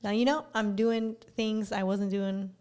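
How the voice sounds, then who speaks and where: Woman podcast co-host, bit raspy and tired, soft mellow tone, just chatting casually. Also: medium pitch, steady tone, unhurried. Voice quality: slightly raspy. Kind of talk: casual chat.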